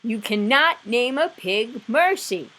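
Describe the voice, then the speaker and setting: A woman's voice: fairly high pitch, expressive, slow, bright, forceful tone, voice pushed up, heavily acted. A woman voicing a stern, disapproving older sister and neighbour in a children's storybook, curt and indignant.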